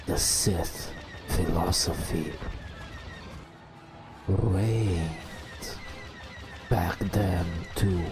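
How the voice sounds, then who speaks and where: Guy doing whispery asmr storytime, low voice, super slow w long gaps, music in the back. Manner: hushed, drawn-out phrases with long pauses. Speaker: narrator. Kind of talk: whispered ASMR bedtime storytelling over game footage.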